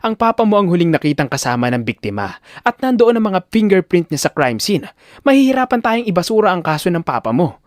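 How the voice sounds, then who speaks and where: A man's voice: high-pitched, very animated, quick pace, no room sound, clean studio sound. A man playing a tired, dismissive public defender who talks curtly and impatiently in an audio drama. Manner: curt, impatient, detached. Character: weary, cold, dismissive male public defender.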